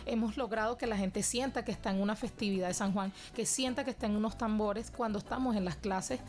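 A woman's voice: medium pitch, fairly even intonation, darkish tone. A young Venezuelan woman answering interview questions about her cultural work in an earnest, reflective way. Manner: earnest and reflective, with measured phrasing. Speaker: guest, cultural educator.

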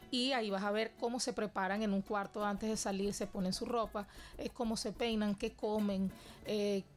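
A Venezuelan woman answering interview questions about her dance classes, earnest and reflective in long flowing sentences. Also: mid-range voice. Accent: Venezuelan Spanish. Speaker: dance teacher and cultural guest.

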